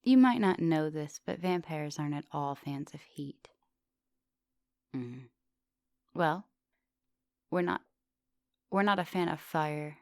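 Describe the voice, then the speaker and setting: A woman playing a centuries-old vampire English professor in an intimate ASMR roleplay, soft and dominant, doting and teasing, sometimes slipping into breathy whispers. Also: sits low, no room sound. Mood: explaining, playful.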